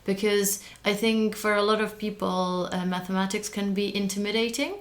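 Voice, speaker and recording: A young woman whose first language is Hungarian, a mathematician speaking as a guest in a podcast interview, earnest and careful, often pausing to find her words. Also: medium pitch, fairly even intonation. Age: young adult. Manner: careful, earnest, with frequent hesitations. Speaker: guest, early career mathematician.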